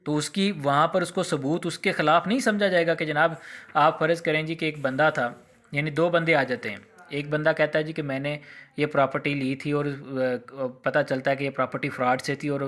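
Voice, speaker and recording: Bright, forceful Pakistani man lecturing. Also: fairly high pitch, steady tone, moderate pace. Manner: didactic, conversational, checks understanding with tag phrases; mixes English legal terms into speech. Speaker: law lecturer. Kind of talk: explanatory exam lecture with hypothetical examples.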